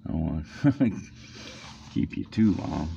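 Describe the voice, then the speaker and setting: A middle-aged man hosting an interview, easygoing and soft-spoken. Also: very deep voice, a little slow, vocal fry. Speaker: interviewer, host. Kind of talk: casual interview chat. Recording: dull, slightly noisy line.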